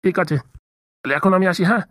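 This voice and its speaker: A woman's voice: fairly low pitch, expressive, fast talker, a bit bright, no echo, clean studio sound. A woman playing a breezy male hospital doctor in a comedy cartoon, casual, jocular and reassuring.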